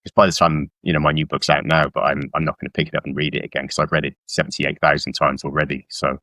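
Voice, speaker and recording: A man's voice: rumbling low, level tone, fast talker, bright, forceful tone, dry recording. A middle-aged man from South Wales chatting informally as a guest author in an interview, with a self-deprecating manner. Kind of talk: casual interview chat about work.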